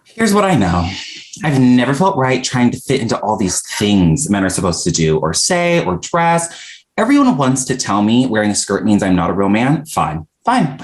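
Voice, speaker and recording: A man's voice: mid-range voice, natural voice. A man acting a frank, exasperated young non-binary dinner guest in a comedy script table read, talking in a candid, wry way. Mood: candid, defiant.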